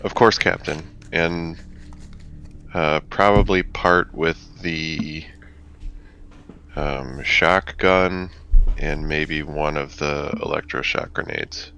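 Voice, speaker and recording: A man playing a tabletop roleplaying game, casually speaking for his character in a halting, hesitant way. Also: very deep voice, gravelly, pushed and bright. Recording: dull sound, faint noise.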